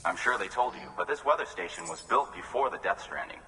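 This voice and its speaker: A man's voice: fairly low pitch, expressive, fairly quick, over music, natural voice. An actor playing a courteous, grateful male weather station worker in a video game, speaking politely and earnestly. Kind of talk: video game cutscene acting. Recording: long reverb, faint noise.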